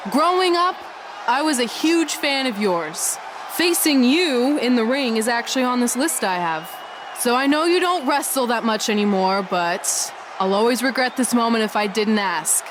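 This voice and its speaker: An actress playing a driven, assertive young female indie wrestler in a video game cutscene. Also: a little high. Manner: assertive, challenging. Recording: clearly noisy ambience.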